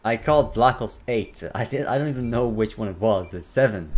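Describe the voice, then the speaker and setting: A man's voice: on the low side, a little fast, a little mellow. A man streaming live, reacting casually to a game showcase, rambling with swearing and stumbling restarts. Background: showcase audio with music.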